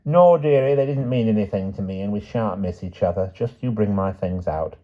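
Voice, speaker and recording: A man voicing a frail, timid old aunt in an audiobook story, with a quavering, hushed voice and a mild, simple manner. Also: fairly low pitch, moderate pace, pitched above the performer's own voice, lightly acted. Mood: mild, firm. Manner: mild, simple, endearing old-fashioned address. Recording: dull, muffled audio.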